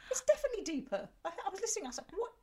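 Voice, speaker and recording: A woman's voice: very high voice, very animated, racing, dark tone. A woman in her fifties co-hosting a podcast, chatting with quippy, playful asides and teasing remarks. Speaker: podcast co-host. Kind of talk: casual chat.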